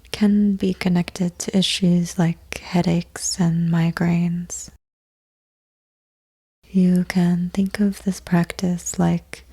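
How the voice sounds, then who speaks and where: A woman leading a guided yoga nidra meditation in a soft, breathy near-whisper, speaking gently in short phrases with long pauses. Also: lowish voice. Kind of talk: slow guided meditation, soothing instruction.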